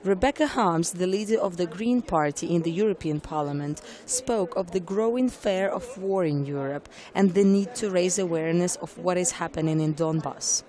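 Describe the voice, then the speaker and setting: A woman news reporter giving clear, formal scripted narration. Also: fairly low pitch, moderate pace, hardly any pauses, mellow.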